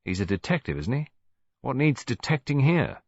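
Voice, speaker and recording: A man's voice: mid-range voice, big pitch swings, moderate pace, no echo, dull audio, voice pushed up. A man voicing a cocky, slangy young boyfriend, brash and dismissive, in an audiobook story. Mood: brash, needling.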